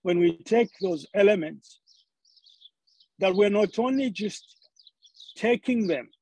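High-voiced man preaching. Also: lively intonation, a little mellow, breathy edge, low-quality recording. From Zambia. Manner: halting, repeats words as he searches for phrasing. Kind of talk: sermon.

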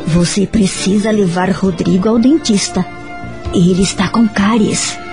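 An actress with a Brazilian accent playing a calm, kind married woman who counsels patiently in a radio soap opera. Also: medium pitch, a little slow, music in the background, lightly acted. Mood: caring advice.

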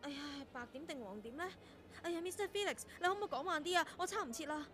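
An actress in a Cantonese radio drama playing a naive, eager young radio intern, earnest and chatty, with a Hong Kong accent. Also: female voice, very high voice, expressive, fast, a bit bright. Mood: flustered.

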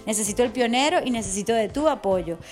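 A middle-aged woman with a slightly high voice talks straight to her listeners as a wellness coach. She is enthusiastic and affectionate, chatting along in a motivational monologue. Soft music plays faintly behind her. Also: animated, fast talker. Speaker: wellness coach podcast host.

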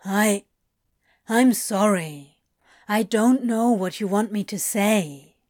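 A woman's voice: mid-range voice, slow, a little mellow, natural voice. A woman voicing a guarded, laconic male demon in an audiobook story, with a clipped, mumbling, offhand drawl.

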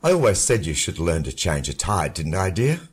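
An actor playing a cynical dead husband who mocks his widow in a warm, controlled, lilting voice. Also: very deep voice, big pitch swings, normal speed, gravelly, breathy edge, full character voice. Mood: mocking. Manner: sardonic, taunting. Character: mocking dead husband, middle-aged man, cynical.

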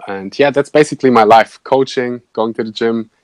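A man's voice: mid-range voice, brisk, a little mellow, dry recording. A young man from Germany with a German accent, chatting as a podcast guest and fitness coach, hesitant with many fillers and laughs. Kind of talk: casual interview chat.

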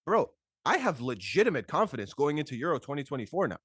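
A young man chatting casually about football as a podcast host, excitable and slangy with emphatic repetition. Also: on the high side, quick pace, husky, dry recording, clean studio sound. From Toronto, Canada. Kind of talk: casual sports chat.

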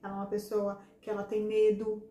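A hoarse woman hosting a casual podcast conversation, speaking earnestly and addressing listeners directly. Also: mid-range voice, monotone, fast talker, dark tone. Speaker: podcast host and hypnotherapist. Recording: echoey room with faint background noise.

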